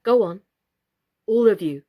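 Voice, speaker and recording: A bossy little wooden doll from a children's storybook, played by a woman. Each word comes slowly and firmly, with a hard, sharp edge. The tone is commanding and sure of itself. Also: mid-range voice, lively intonation, phone-quality sound, lightly acted.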